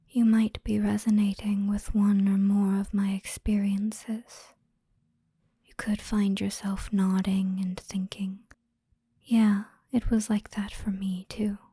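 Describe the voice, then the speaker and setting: A woman guiding a hypnosis relaxation in a soothing, gentle, breathy whisper, unhurried and with frequent pauses, close to the microphone. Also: medium pitch, no echo. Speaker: hypnosis guide. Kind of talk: whispered ASMR guided relaxation storytelling.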